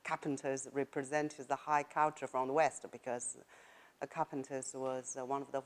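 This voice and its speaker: Woman, middle-aged, Chinese accent, very low pitch; halting, reflective interview answers. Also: level tone. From China. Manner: thoughtful, halting, with frequent fillers and laughs. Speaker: author interviewee. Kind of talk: casual interview.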